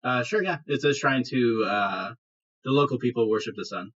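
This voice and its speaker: A man's voice: mid-range voice, normal speed, fairly bright tone. A man running a tabletop game as game master, improvising narration in a conversational, explanatory way. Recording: dull lo-fi podcast audio.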